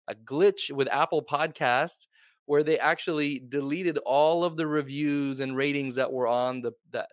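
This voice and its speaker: A man's voice: mid-range voice, no room sound. Man, podcast host, casual interview, friendly, fillers, dry sound. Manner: friendly, earnest, many fillers.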